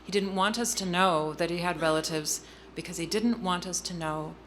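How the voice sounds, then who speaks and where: A middle-aged woman telling a personal family story in an interview, earnest and halting, with frequent ums and restarts. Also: fairly low pitch, a bit bright, a little hiss or hum. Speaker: guest speaker.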